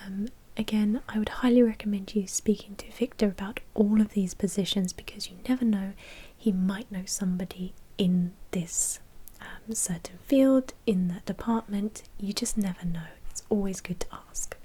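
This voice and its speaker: A woman speaks in a hushed, breathy whisper with a mellow, dark tone. She is doing an ASMR roleplay as a courteous vampire manager, businesslike and gently matter-of-fact, with soft hesitations as she explains. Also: mid-range voice, steady tone, normal speed. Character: female vampire blood bank manager, polite and businesslike.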